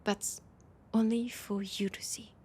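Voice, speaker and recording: A woman playing a proud but desperate vampire leader in an intimate audio drama, with a French accent and a halting, breathy delivery that slips toward whispers. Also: mid-range voice, little pitch movement, mellow. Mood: pleading, ashamed. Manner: hesitant, halting, breathy asides dropping into whispers.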